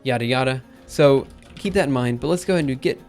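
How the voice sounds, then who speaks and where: A man live-streaming his coding session, thinking aloud in an upbeat, chatty way while typing, his voice a little raspy. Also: medium pitch, quick pace, darkish tone, soft background music. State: slightly raspy after a drink. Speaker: programming livestreamer. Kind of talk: live coding stream, casual talk-through.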